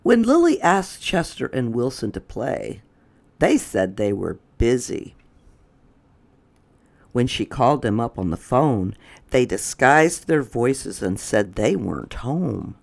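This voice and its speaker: A storyteller narrating a children's picture book in a deliberate, expressive way. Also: mid-range voice, creaky.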